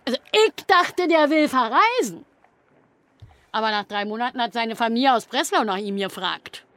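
A woman's voice: a little high, lively intonation, moderate pace, fairly bright tone, no echo. An older woman acting in a radio drama as a nosy, old-fashioned, chatty landlady in 1910s Berlin. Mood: chatty, concerned.

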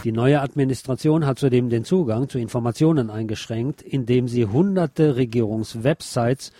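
A man's voice: mid-range voice, moderate pace, dark tone, no room sound, soft background music. A man presenting on radio, reading a written report aloud.

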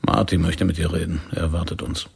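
An actor playing a serious, protective secret agent in a radio play, quiet and grave with a gravelly husky voice. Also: male voice, low voice, flat delivery, brisk, exaggerated voice.

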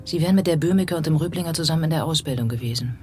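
A man's voice: on the high side, very fast, a little mellow, soft background music, close to an everyday voice. An actor playing a sardonic male police detective in a radio drama, probing with dry irony. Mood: sly insinuation. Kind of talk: German radio drama dialogue.

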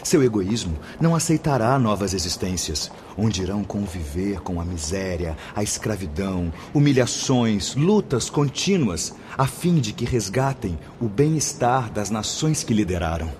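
An actor as a deep-voiced, solemn monk mentor. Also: male voice, lively intonation, moderate pace, hardly any pauses, darkish tone, slight background noise, close to an everyday voice. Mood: indignant, admonishing. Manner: declamatory, formal, sermonizing. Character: solemn, kindly spirit mentor monk, preaching fervently. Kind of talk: radio drama acting.

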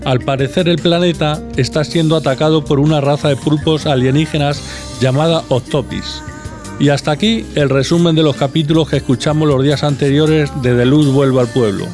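A man's voice: on the high side, fairly even intonation, normal speed, darkish tone. A man presenting a radio programme from a script. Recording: music behind the voice.

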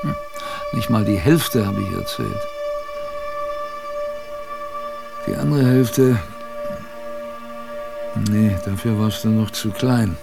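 An actor playing a gruff but fond old sailor grandfather, telling his memories in a salty, colloquial radio-play manner. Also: lowish voice, music in the background. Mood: grumbling, fond. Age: older. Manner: colloquial, salty storytelling with musing pauses. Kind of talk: radio drama first-person narration.

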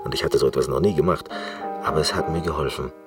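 Obsessive reclusive pianist: very low voice, deliberate, intense radio drama monologue, music behind. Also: male voice. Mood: reflective, intense. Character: brooding eccentric male concert pianist, obsessive and reclusive.

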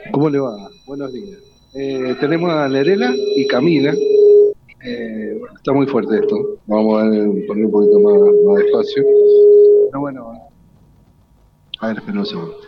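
A man's voice: mid-range voice, lively intonation, moderate pace, long pauses, muffled recording, very noisy. A man with an Argentine accent presenting live on radio as a co-host, unscripted and often repeating his words.

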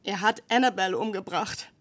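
An actress plays a frightened young woman who refuses to back down in a radio drama. Her mid-range voice is a little husky. She speaks curtly and insistently. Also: mid-range voice, fairly quick, a bit bright, natural voice. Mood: shaken, insistent. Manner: insistent, curt, tense delivery. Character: frightened, stubborn young woman demanding protection.

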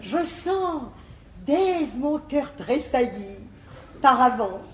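A woman's voice: high voice, big pitch swings, extremely slow, full character voice. An actress playing an affected, swooning lady besotted with poetry in a classical French stage comedy, declaiming verse theatrically. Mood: swooning delight. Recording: echoey theatre stage, noisy 1950s recording.

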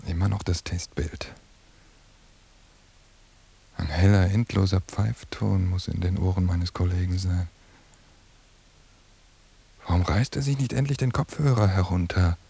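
An actor playing a melancholy, haunted surveillance cameraman in a radio play, voicing introspective thoughts with a gravelly, breathy voice. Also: deep voice, unhurried, long pauses, warm tone, heavily acted. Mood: uneasy, brooding. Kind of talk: German radio play inner monologue.

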